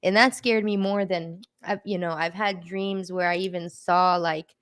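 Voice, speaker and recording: A woman with a slightly low, clear and smooth voice talks casually, as if sharing a personal story with a group. Her delivery is informal and conversational, and the sound is close and dry. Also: steady tone, normal speed, a bit bright, no echo. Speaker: participant sharing personal experiences in a group discussion.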